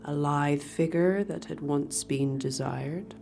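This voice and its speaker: A woman voicing a proud, bored medusa who hides in the dark, speaking in haughty, breathy whispers. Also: deep voice, slow, soft background music, exaggerated voice. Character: proud female medusa hiding behind a screen, bored and sneering. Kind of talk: audio drama acting.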